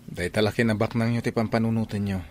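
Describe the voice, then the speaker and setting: A man's voice: on the low side, level tone, normal speed, close to an everyday voice. A young man with an Ilocano accent playing a son who firmly defies his mother in a radio drama. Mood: defiant. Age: young adult. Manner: firm, earnest, defiant.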